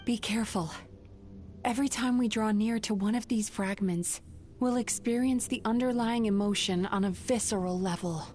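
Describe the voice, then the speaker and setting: An actress voicing a calm, knowing young woman healer in a video game, explaining things in a measured, solemn way. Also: mid-range voice, faint music behind, close to an everyday voice. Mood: calm, guiding. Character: composed young woman, dream-eating healer, gentle and knowing. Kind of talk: scripted video game voice acting.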